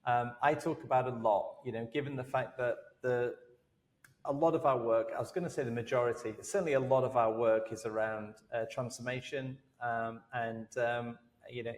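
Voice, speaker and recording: A man hosting a podcast interview, friendly and halting, with many ums and uhs. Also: medium pitch, long reverb tail. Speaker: podcast host.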